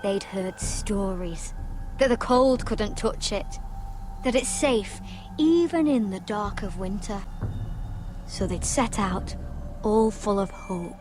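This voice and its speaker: A woman's voice: mid-range voice, animated, slow, noisy background. An actress playing a wry northern wildling woman in a video game, telling tales. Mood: wistful storytelling. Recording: music behind the voice.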